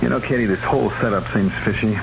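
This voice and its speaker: An actor in a vintage radio drama playing a skeptical, stubborn city-bred husband who speaks in a blunt, insistent way. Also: male voice, fairly low pitch, fast talker, creaky. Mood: suspicious. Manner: emphatic, insistent, plain-spoken. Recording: dull, noisy vintage radio recording.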